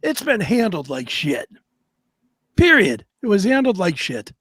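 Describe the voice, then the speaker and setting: A man's voice: high-pitched, huge pitch swings, unhurried, fairly bright tone, husky, dry recording. A man co-hosting a talk show, giving opinions in a blunt, emphatic and salty way.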